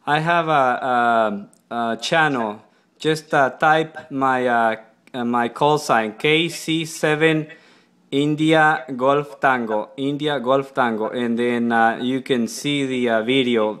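A man's voice: mid-range voice, fairly bright tone, clean tone. A man speaking up in a casual ham radio exchange, with hesitant, repetitive phrasing, as a radio operator in a kitchen. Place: indoors in a kitchen. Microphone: laptop microphone nearby.

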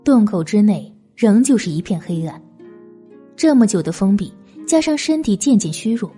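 Female audiobook narrator for historical drama: very soft-toned, animated pitch, music underneath. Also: moderate pace. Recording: music behind the voice.

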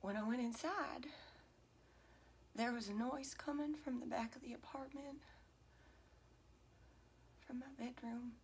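A woman's voice: on the high side, measured pace, long pauses, a little hiss or hum. A woman performing a dramatic monologue as a distraught, guilt-ridden single mother. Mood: hushed, shaken. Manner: hushed and breathy, breaking into anguished outbursts.

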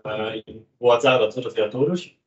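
A man's voice: medium pitch, normal speed, muffled recording. A man from Germany speaking with a German accent, giving an academic presentation as a guest researcher, hesitating often with filler sounds. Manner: hesitant, many ehm fillers.

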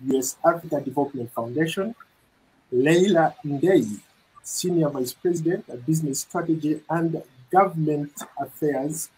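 A middle-aged man hosting a news interview, speaking in a measured way with frequent fillers and restarts. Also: a little high, darkish tone, slight background noise, poor audio. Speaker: news reporter and interview host. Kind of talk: broadcast interview moderation. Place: indoor studio set.

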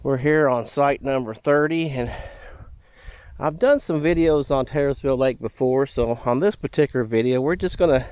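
Man, casual camping vlog, easygoing, lots of uhs, dry close sound, mid pitch. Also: moderate pace, clear, resonant tone, no echo. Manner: relaxed, rambling with many fillers. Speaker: camping vlogger.

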